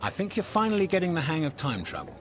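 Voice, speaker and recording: A man's voice: a little high, sing-song, quick pace, a little hiss or hum. An actor playing a breezy, streetwise alien traveller in a radio comedy, wry, emphatic and impatient with his human friend. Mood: wry, guarded. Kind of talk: radio comedy drama acting.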